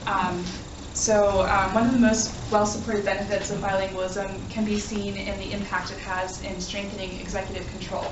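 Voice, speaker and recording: A young woman gives an academic talk in an earnest way, with plenty of ums. Her voice stays fairly flat in pitch and is picked up from a distance in an echoey room. There is clear background noise. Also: normal speed, hardly any pauses. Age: young adult. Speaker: graduate student presenter. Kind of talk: academic presentation.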